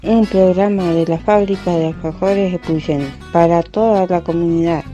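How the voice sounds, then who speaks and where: Presentadora argentina con música de fondo suave. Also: female voice, lowish voice, steady tone, moderate pace, music in the background. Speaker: presenter and narrator. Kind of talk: presenting and narrating radio stories.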